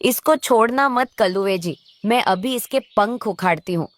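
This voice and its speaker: An envious cartoon crow wife, voiced by a woman, at an ordinary female pitch. She complains and coaxes with an irritable edge, recorded very dry. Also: normal speed, no room sound, natural voice. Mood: cruel. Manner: complaining, coaxing. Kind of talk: children's cartoon voice acting.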